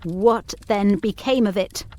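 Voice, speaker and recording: A woman's voice: medium pitch, takes it slowly, mellow, natural voice. Intense young governess in a gothic drama, played by a woman. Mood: anxious, probing. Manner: formal, literary phrasing, urgent. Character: young governess, earnest, intense, anxiously determined. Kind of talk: audio drama acting of Victorian gothic ghost story.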